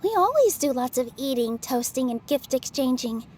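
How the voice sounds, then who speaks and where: A woman voicing a gentle, modest young female dancer in a video game, speaking politely and softly. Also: high-pitched, big pitch swings, moderate pace, noisy background. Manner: soft-spoken, polite and self-effacing. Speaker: game character.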